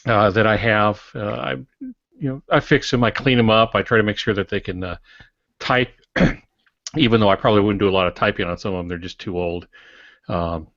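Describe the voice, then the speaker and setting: A man in his sixties co-hosting a casual podcast over an online call, explaining things in a wry, measured way. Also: lowish voice, a bit bright, no echo. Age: 60s. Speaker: podcast co-host and writer. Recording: dull, dry, lo-fi online call audio.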